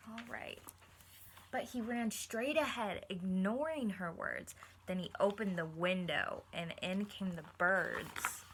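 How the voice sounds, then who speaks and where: A woman teacher reading a picture book to children, encouraging them and pausing to explain things simply and ask questions. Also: medium pitch, a bit bright, a little hiss or hum. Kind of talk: read-aloud lesson with questions to young listeners.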